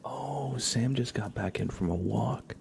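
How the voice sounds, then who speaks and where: A soft-spoken man hosting an ASMR livestream, chatting casually and often dropping into a whisper. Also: mid-range voice, unhurried, creaky, a little hiss or hum. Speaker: livestream host.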